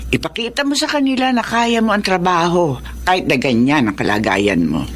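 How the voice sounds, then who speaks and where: An old grandmother in a Filipino radio drama, with lively, swooping pitch. She is doting and reassuring, over faint background noise. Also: moderate pace. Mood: encouraging, doting. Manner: animated, reassuring.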